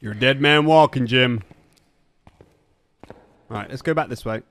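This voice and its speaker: A man's voice: medium pitch, normal speed, fairly bright tone, dry recording. A young man from Somerset with a Somerset accent, streaming a ghost-hunting game and chatting casually into a desk mic in a small room. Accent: Somerset English. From Somerset, England. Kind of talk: casual gaming commentary. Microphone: studio mic on a boom arm.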